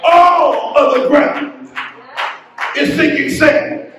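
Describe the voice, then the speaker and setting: A middle-aged man preaching a sermon from a church pulpit, building into shouted, half-sung exhortation. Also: very high-pitched, steady tone, very slow, a crowd in the background, noisy background. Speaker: pastor. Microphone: pulpit mic, filmed by a far camera. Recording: very echoey church from a distance.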